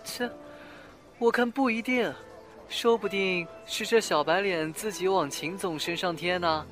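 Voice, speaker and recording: A man's voice: high voice, a little slow, fairly bright tone, soft background music. An actor playing a scornful male office gossip who sneers and insinuates in an audio drama. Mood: sneering. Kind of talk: Chinese amateur audio drama acting.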